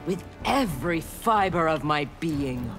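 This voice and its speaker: A woman's voice: low voice, expressive, a little slow. An actress voicing a stern, armored female clan warrior in a fighting game, speaking in a cold, clipped, menacing way. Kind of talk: game intro banter. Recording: game audio with music behind.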